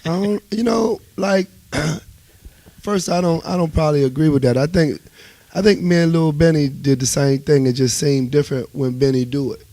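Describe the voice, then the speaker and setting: A man's voice: on the high side, fairly even intonation, normal speed, dark tone, no room sound. Laid-back man chatting in a dry studio. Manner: informal, conversational, peppered with "you know". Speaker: interviewed go-go musician. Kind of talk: casual interview chat, reminiscing. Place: radio studio.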